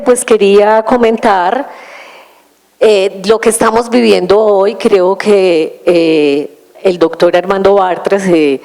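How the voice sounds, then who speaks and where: Woman lecturer, mid-pitched voice, measured and explanatory, pauses and hesitates a lot. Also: vocal fry, a little mellow. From Colombia. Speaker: invited lecturer. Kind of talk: conference lecture.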